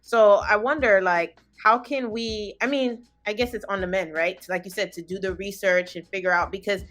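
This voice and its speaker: A woman's voice: medium pitch, steady tone, normal speed, a bit bright. A woman co-hosting a podcast, asking long, thoughtful questions in casual talk. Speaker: podcast co-host.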